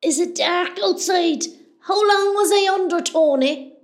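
A woman's voice: extremely high pitch, measured pace. An actress with a West Cork Irish accent playing a chatty, nosy, melodramatic middle-aged mother on a hospital ward in a comedy radio play. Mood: groggy, questioning. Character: chatty, dramatic Irish mother, patient groggy after surgery.

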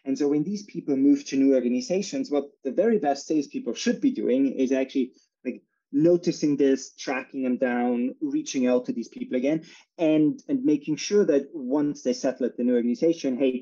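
A man from Austria talks as a guest, explaining his company in a relaxed way with many fillers. His voice is deeply mellow and dark in tone. The recording sounds muffled and lo-fi. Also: fairly quick. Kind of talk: casual interview talk.

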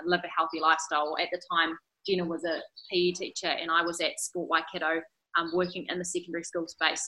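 New woman with a low, bright voice. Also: low-quality recording. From New Zealand. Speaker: guest, health business co-founder. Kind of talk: conversational interview answers.

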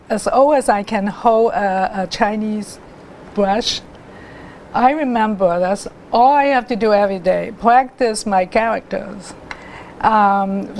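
A middle-aged woman, telling her life story as a guest artist in an interview, haltingly, with many restarts and pauses. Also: medium pitch, a little mellow, a little hiss or hum. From Hong Kong.

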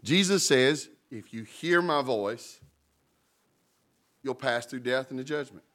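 A man in the middle of a sermon, his voice climbing high for emphasis and sinking low and quiet at the end of thoughts. He addresses the congregation directly and teases that he mumbles. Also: expressive, slow pace. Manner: emphatic preaching, calls to the congregation; jokes about mumbling. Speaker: pastor.

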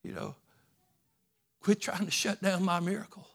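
A man preaching a sermon in a slightly high voice. He talks in a folksy, repetitive way, moving from soft conversational stretches into loud, fervent preaching. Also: level tone, moderate pace, gravelly. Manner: folksy, repeats phrases. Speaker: pastor.

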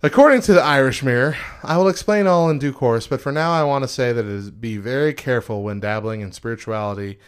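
A man's voice: medium pitch, quick pace, few pauses, clear, resonant tone. A man in his early thirties co-hosting a podcast, bantering and reading headlines aloud with frequent laughs. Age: early 30s. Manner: joking, laughs often. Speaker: podcast co-host.

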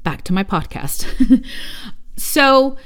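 A woman's voice: high voice, lively intonation, slow pace. A woman hosting her own travel podcast, talking casually and directly to listeners, often saying "you guys" and drifting into side stories. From United States. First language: Spanish. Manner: chatty, addresses listeners as "you guys", digresses. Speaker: podcast host and travel agent. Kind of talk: casual solo podcast talk.

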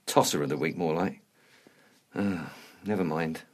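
An actor playing a bitter middle-aged ex-punk with a London accent, blunt, slangy and grumbling. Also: male voice, rumbling low, measured pace, heavy background noise. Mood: glum. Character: bitter, blunt washed-up male ex-punk, quick to bristle. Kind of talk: naturalistic dark comedy dialogue.

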